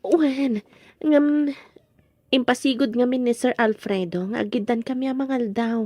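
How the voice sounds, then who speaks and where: Casting: female actor for a caring, courteous young Ilocano Filipino secretary in a serial drama, high voice, dry recording. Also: a little slow, warm tone, no echo. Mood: apologetic. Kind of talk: Ilocano drama series voice acting.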